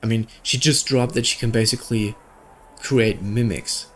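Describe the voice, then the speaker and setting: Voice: medium pitch, moderate pace. A gaming streamer giving casual playthrough commentary, informal and reacting aloud with sarcastic asides.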